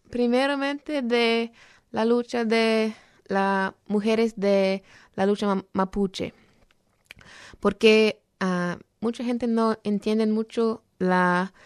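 A woman talking earnestly on a program, halting often as she searches for words. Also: mid-range voice, clear, resonant tone, no echo, studio quality. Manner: earnest, explanatory. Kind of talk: program talk on social issues.

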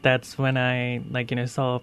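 A male-sounding voice in the mid twenties, from India, shares personal experience in casual conversation. The delivery is hesitant and padded with fillers, with a fairly flat melody. Some noise sits behind the voice. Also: mid-range voice, a little slow. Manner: hesitant, heavy use of "like" and "you know". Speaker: interview guest sharing life story.

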